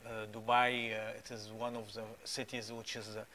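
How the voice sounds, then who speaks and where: A man's voice: fairly low pitch, flat delivery, normal speed, sharp tone, slight background noise. A man, an interview guest telling his story with many hesitant fillers. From Egypt. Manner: hesitant, many "uh" fillers, non-native phrasing. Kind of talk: testimony interview. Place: small studio set with plants.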